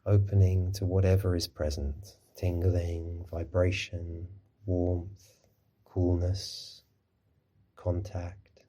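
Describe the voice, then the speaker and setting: A man gently guiding a body scan meditation as a mindfulness teacher, in a soothing, unhurried manner. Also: low-pitched, flat delivery.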